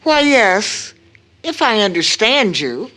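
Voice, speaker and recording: An actress playing a gentle, sorrowful middle-aged mother in an audio drama, measured and soothing with her daughter. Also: medium pitch, sing-song, heavily acted. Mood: sombre. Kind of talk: stage-style audio drama acting.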